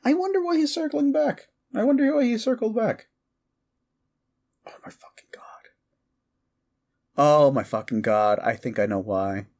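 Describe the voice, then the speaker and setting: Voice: medium pitch, unhurried, pauses often, darkish tone, close to an everyday voice. A performer of unclear sex playing a mischievous, exasperated modern necromancer in a comedic audio roleplay, rambling casually and swearing with incredulity. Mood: hushed urgency. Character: mischievous, exasperated necromancer prankster, gleefully amoral.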